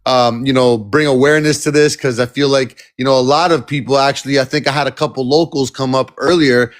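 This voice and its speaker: A man's voice: mid-range voice, steady tone, a little fast. A man hosting a live stream, interviewing a caller in a casual, rambling way with many fillers and restarts.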